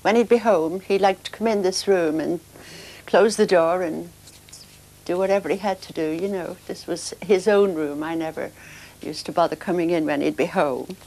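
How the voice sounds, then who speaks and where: Soft-spoken older woman reminiscing. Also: on the low side, steady tone, normal speed. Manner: soft, hesitant, emotional reminiscing. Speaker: interviewee, mother of the subject. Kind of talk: documentary interview.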